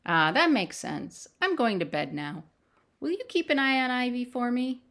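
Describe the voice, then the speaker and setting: A woman's voice: fairly high pitch, lively intonation, moderate pace, fairly bright tone, pitched above the performer's own voice. A woman voicing an earnest, polite young storybook child with a questioning lilt and exaggerated politeness. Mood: earnest, curious.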